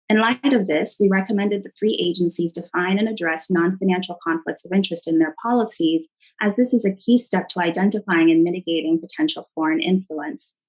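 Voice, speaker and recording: Low-pitched woman reading formal testimony. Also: rough recording. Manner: measured, formal, reading a prepared statement. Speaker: government agency witness.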